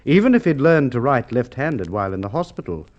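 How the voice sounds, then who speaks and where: Casting: male actor as methodical, probing police inspector, old-time radio crime serial. Animated intonation, muffled lo-fi recording. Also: mid-range voice, lightly acted. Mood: accusing, certain. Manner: deliberate, probing, authoritative. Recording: dull, lo-fi vintage radio recording.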